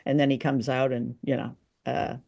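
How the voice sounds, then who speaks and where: A woman's voice: extremely low pitch, level tone, normal speed, gravelly, mellow, slightly rough, muffled recording. A woman co-host chats in a casual, thoughtful way, feeling out her phrases with good humour. The sound is very dry, with no room echo. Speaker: co-host of an old-time radio discussion show. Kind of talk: casual conversational discussion.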